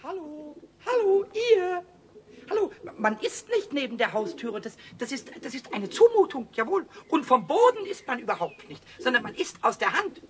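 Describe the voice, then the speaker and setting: Childish, excitable kobold for children's radio drama: piercing, very high, wildly animated, stuttering repeats. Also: male voice, moderate pace, slight background noise, exaggerated voice. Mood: excited, indignant. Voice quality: squeaky, piercing. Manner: stammering word repetitions, singsong rhyming. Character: cheeky, childish little male kobold, excitable and stubborn. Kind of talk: 1970s children's radio play acting.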